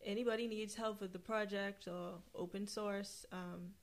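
A woman's voice: mid-range voice, fairly even intonation, moderate pace. A woman speaking as an interview guest, telling her own story in an informal, colloquial way. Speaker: interview guest, air traffic controller. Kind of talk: casual chat, anecdotal storytelling.

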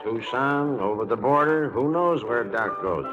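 Casting: male actor as laid-back, folksy saloon bartender, medium pitch, muffled vintage radio Western. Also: expressive, a little slow, lightly acted. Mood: laid-back, cautious. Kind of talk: 1940s Western radio drama acting. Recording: muffled 1940s radio recording with music.

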